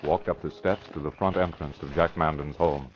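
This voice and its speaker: A man's voice: a bass voice, steady tone, moderate pace. A man narrating an old-time radio crime drama in scripted style. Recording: dull vintage radio recording with music. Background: dramatic music.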